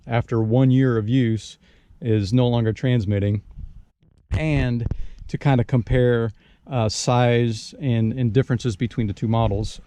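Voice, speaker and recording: Casting: man, mid-pitched and soft-edged, very dry close sound, off-the-cuff gear reviewer who rambles a little. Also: no room sound. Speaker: product reviewer and channel host. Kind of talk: casual unscripted product walkthrough.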